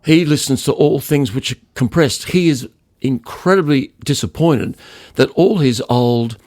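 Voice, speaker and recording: A man's voice: mid-range voice, measured pace, a little mellow, no echo. Older man, emphatic, in a dry recording. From Australia. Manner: emphatic, repeats words for emphasis. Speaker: loudspeaker designer, podcast guest. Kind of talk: conversational interview storytelling.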